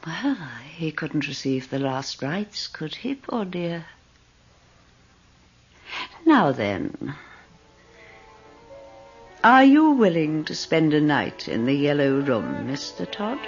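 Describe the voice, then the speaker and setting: An old, wealthy widow in a radio drama. Her low, husky voice rises and falls with genteel, self-serving insinuation. The recording is slightly dull and lo-fi. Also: very animated, slow, pauses often, faint music behind, full character voice. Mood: wry, insinuating. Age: older.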